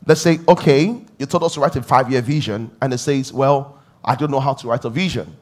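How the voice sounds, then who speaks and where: A man's voice: mid-range voice, a little fast, a little mellow. Conversational man preaching a sermon. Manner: conversational preaching, repeats phrases, rising emphatic bursts. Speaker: pastor.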